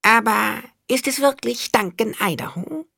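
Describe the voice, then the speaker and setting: A man voicing a scheming male dwarf in an audiobook, with a squeaky, gravelly falsetto and a sly, wheedling manner. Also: a little slow, sharp tone, dry recording, studio quality, full character voice. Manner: wheedling, unruffled.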